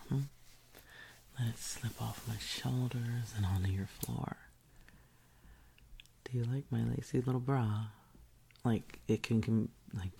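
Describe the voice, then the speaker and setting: A woman doing an intimate whispered audio roleplay, teasing and commanding in a breathy whisper close to the mic. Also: a bass voice, dark tone, slight background noise.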